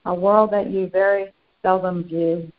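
Slow, halting woman channeling a serene spiritual master. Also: lowish voice, level tone, lightly acted. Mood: serene, reverent. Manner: slow, halting short phrases with long pauses. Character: serene, benevolent ascended master St. Germain addressing followers. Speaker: channeler and meditation guide. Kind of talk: guided meditation. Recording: dull lo-fi sound.

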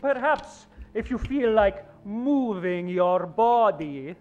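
A man puts on a dashing, defensive club owner. The voice is high, formal and suave, in old-time radio style. The stage recording sounds a little dull. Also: takes it slowly, darkish tone. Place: live theater stage.

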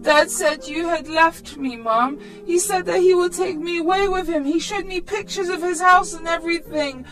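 Storytime child role: woman as frightened young son, high voice, plaintive and clingy. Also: moderate pace, hardly any pauses, fairly bright tone, soft background music. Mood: scared. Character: frightened, clingy young boy, relieved to see mother.

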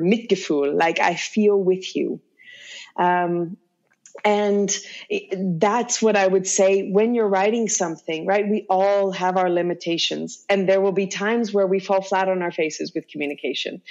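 A woman guest on a podcast talking about communication, lively, often laughing and acting out little quoted phrases. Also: mid-range voice, highs cut off. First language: English. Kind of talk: conversational interview.

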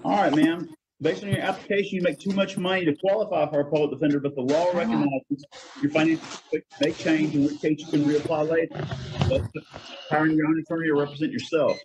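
A middle-aged man speaking as a judge in a brisk, procedural hearing over a court video link. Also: on the high side, fairly even intonation, noisy background.

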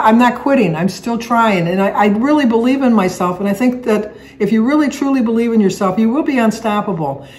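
A woman's voice: on the high side, rough recording. Chatty older woman vlogging from home. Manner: chatty, rambling with restarts and fillers. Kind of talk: casual chat. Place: living room at home, indoors. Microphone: camera on a table, seated facing it.

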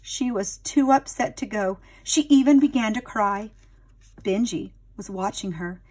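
A woman's voice: mid-range voice, unhurried, a little mellow, breathy edge. A woman narrating a children's storybook expressively, dramatic and addressing young listeners like a teacher.

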